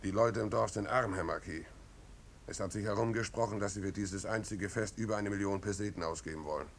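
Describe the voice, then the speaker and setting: A man's voice: fairly quick, vocal fry. An actor playing a humble, plain-spoken village man, earnest, firm and deferential, in a 1950s German radio drama. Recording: old radio recording, rumbling background noise.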